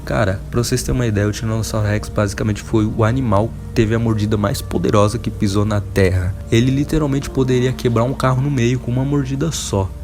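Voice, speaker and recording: A man's voice: mid-range voice, steady tone, fast, hardly any pauses, music in the background. A Brazilian man hosting a casual enthusiast video, talking in an emphatic, informal, wonderstruck way. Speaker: video host.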